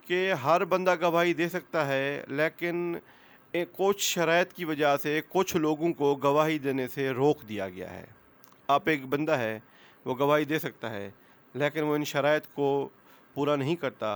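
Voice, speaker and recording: A man's voice: fairly high pitch, fairly bright tone, clean tone. A man with a Pakistani accent giving an explanatory law lecture, repeating points, posing questions and mixing in English legal terms.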